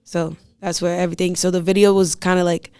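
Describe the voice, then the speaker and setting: Raspy-voiced woman rapper, slangy and loose. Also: fairly low pitch, flat delivery, quick pace, dark tone, no echo. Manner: slangy, rambling, laughs often. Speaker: rapper guest. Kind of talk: casual interview chat.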